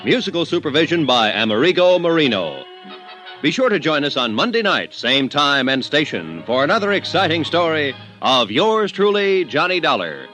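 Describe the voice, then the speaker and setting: A man's voice: a little high, sing-song, moderate pace, sharp tone. A man announcing credits for a 1950s radio drama in a formal, declamatory manner. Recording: old radio with music bed.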